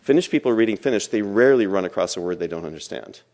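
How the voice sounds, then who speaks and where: A man's voice: on the low side, animated, quick pace, darkish tone. A man giving a lecture as a language teacher, explaining step by step and repeating phrases for emphasis. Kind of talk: classroom lecture.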